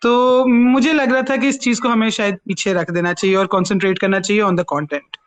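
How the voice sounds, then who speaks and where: A woman's voice: mid-range voice, fairly even intonation, moderate pace. A woman with a Pakistani accent hosting a casual film review chat, mixing Urdu with English phrases. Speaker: talk show host and film reviewer.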